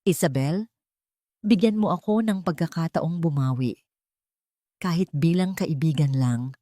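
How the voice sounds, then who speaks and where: A woman narrator acts out a wounded general in an audio story. He sounds hoarse and weak, labouring over his words as he pleads in remorse. The recording is close and dry. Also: low voice, a little slow, dark tone, dry recording. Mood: pleading. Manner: labored, pleading.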